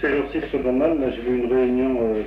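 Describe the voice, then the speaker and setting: A man, a radio amateur, chatting on air about his equipment with many pauses and hesitations. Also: mid-range voice, fairly even intonation, a little hiss or hum. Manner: rambling, technical, many hesitations. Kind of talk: casual on-air radio chat. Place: home radio station. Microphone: crystal broadcast-style microphone about a metre away. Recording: roomy, distant, dull 1960s AM radio recording.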